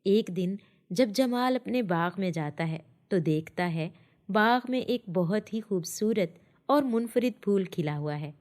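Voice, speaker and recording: Woman, medium pitch, scripted narration, children's storyteller. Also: expressive, a little slow, darkish tone.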